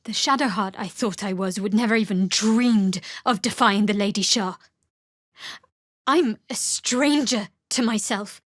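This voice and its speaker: A woman playing a guarded, devout young cleric of a dark goddess in a fantasy video game, speaking in a measured, dry, slightly wry way. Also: medium pitch, dry recording, lightly acted. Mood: earnest, reflective. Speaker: video game character voice actor. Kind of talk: scripted fantasy game dialogue.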